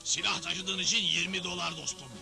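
Bossy western cartoon judge, super high pitched, threatening, music behind. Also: male voice, steady tone, brisk, creaky. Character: bullying, stubborn frontier judge, cantankerous and boastful. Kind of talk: Turkish cartoon dub.

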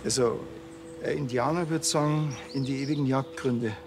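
An actor playing a blunt, awkward middle-aged village policeman in a TV crime comedy, with a Bavarian accent and a halting, deadpan delivery. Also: medium pitch, noisy background, close to an everyday voice.

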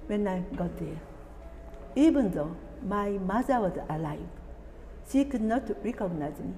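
A woman in her eighties, a native Japanese speaker, reading her prepared testimony in English carefully and deliberately. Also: medium pitch, dark tone, in a large hall, slight background noise, low-quality recording. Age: 80s. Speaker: atomic bombing survivor. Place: indoor room, seated at a table.

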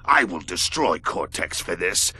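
An actor playing a bombastic, aggrieved evil mask spirit in a cartoon game, with a gravelly, indignant voice. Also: male voice, sits low, very animated, a little slow, bright, forceful tone, dry recording, heavily acted. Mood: bitter anger. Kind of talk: animated video game cutscene acting.

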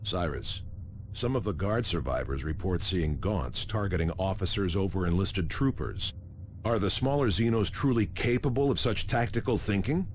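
Casting: dutiful sci-fi officer for a game, deep register, serious and deliberate. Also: male voice, animated, a little hiss or hum. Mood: serious, alert. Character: serious, dutiful male space marine officer. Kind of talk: video game voice acting.

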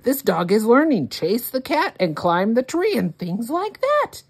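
Woman acting out admiring storybook neighbour, excitable, emphatic, raised pitch, swooping intonation. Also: measured pace, breathy edge, exaggerated voice. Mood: eager, urgent. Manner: exclaiming, emphatic. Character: excitable, admiring townsfolk in a children's picture book. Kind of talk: storybook character voices.

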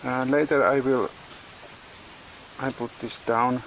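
Guy in his 40s filming himself on a phone outside, halting english, crickets and bugs loud in the background. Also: medium pitch, flat delivery, slight background noise, low-quality recording. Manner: halting, searching for words, non-native English. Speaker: farm vlogger. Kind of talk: casual vlog narration. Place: outdoors on a tropical farm. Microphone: phone camera at arm's length. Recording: outdoor ambience with insects and animals.

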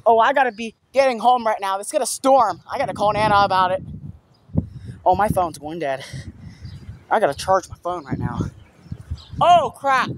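A young man vlogging while walking outside, talking excitedly and repeating himself about an approaching storm, filmed on a handheld phone. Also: high voice, moderate pace, long pauses. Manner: excited, repetitive exclamations. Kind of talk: casual walking vlog. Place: outdoors on a small-town street. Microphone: phone camera held at arm's length. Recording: outdoor street noise.

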